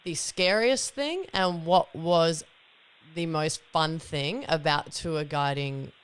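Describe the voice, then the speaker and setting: A woman hosting a casual podcast interview, relaxed and quick to laugh. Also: sits low, smooth. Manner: laughs easily, relaxed questioning. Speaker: podcast host.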